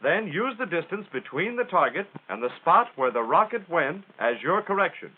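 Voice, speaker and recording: American male narrator for a 1940s military instructional film; plain-spoken and folksy, highly animated intonation, thin vintage soundtrack sound. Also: moderate pace, slightly rough. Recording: tinny, band-limited 1940s film soundtrack.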